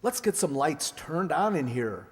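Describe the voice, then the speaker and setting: A man's voice: on the high side, very animated, a little slow. A man playing an alert, urgent husband and amateur sleuth in an old-time style radio mystery. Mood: brisk, urgent. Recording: echoey hall, faint noise.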